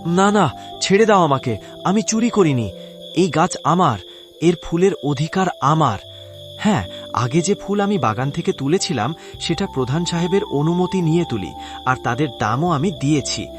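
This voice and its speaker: Man playing a highish pleading wronged gardener. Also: expressive, moderate pace, music in the background, natural voice. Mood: desperate, protesting. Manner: pleading, insistent. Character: humble gardener protesting his innocence. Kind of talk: dubbed cartoon moral-tale acting.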